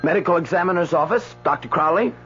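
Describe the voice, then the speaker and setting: An actor playing a flustered new doctor at a medical examiner's office in a radio drama, jittery and hesitant. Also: male voice, a little high, fast, natural voice. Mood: nervous, eager. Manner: jittery, hesitant, repeats himself. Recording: muffled radio recording, faint music.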